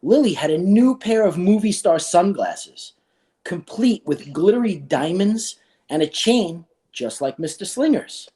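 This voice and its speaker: Very animated man reading a storybook. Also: sits high, unhurried. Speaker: school staff reader. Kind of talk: children's storybook read-aloud.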